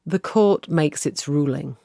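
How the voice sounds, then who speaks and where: A man's voice: on the high side, slow pace, vocal fry, dark tone. A man reading scripted narration for a narrative radio documentary. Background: music bed.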